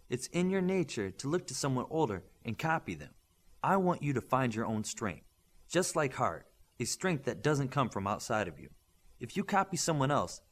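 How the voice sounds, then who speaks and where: Man reading as stern male academy instructor, principled, didactic, emphatic, animated intonation, superhero audiobook. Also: mid-range voice. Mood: earnest, firm. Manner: emphatic, didactic, measured lecturing with rhetorical questions. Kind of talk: audiobook character dialogue.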